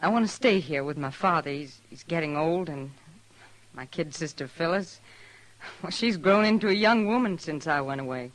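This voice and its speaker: A woman's voice: sits low, animated, normal speed, rough recording. World-weary, defiant frontier woman. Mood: resigned, pleading. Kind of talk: 1950s radio western acting.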